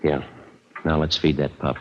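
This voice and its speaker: A terse police sergeant in an old radio crime drama. His voice is very low and his delivery is flat and matter-of-fact. The recording is dull and vintage, with loud music around it. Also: normal speed. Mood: firm. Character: terse, businesslike male police detective sergeant, narrating his case. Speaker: actor and narrator in a police drama. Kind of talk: 1950s radio drama, clipped underplayed narration.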